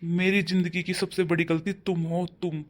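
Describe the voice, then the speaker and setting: A man playing a stern, high-voiced father. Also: flat delivery, normal speed, lightly acted. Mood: angry. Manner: scolding loudly, then softening to coax. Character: stern father defending his daughter. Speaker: actor in a family drama. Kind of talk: amateur family drama acting.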